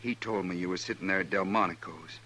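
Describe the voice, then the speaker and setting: An American actor playing a jealous, hot-headed frontier husband with plain rustic speech in a 1950s radio western. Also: male voice, deep voice, fast, sharp tone. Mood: dawning realisation. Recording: noisy, humming old radio recording.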